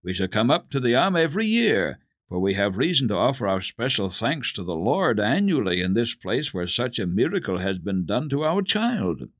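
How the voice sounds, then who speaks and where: A man's voice: mid-range voice, big pitch swings, normal speed, no room sound. A man voicing a kindly, devout, well-to-do grandmother in a storybook reading, emphatic and reassuring. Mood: firm reassurance.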